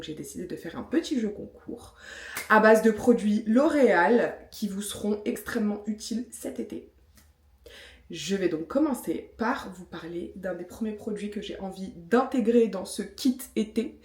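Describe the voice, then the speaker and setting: A chatty woman in her thirties from France, talking straight to camera with lots of little fillers and playful self-mockery. Her voice sits at an ordinary middle pitch. The room around her rings with a noticeable echo. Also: lively intonation, moderate pace, a little mellow. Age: 30s. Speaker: vlogger. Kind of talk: casual vlog talk with product review. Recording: echoey room.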